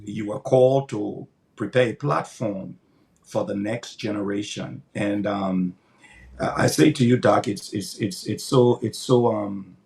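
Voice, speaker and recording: An older man speaks with a low, gravelly voice and a rough edge of fry. He answers interview questions like a pastor, earnest and emphatic. He often repeats words as he builds toward a point. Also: a little slow, darkish tone. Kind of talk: interview answers, preaching cadence.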